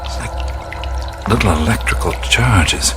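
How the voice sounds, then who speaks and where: An actor in a radio play voicing an adventurer who narrates a dreamlike vision in the first person, hushed, awed and often whispering. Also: male voice, sits low, takes it slowly, background noise. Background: music.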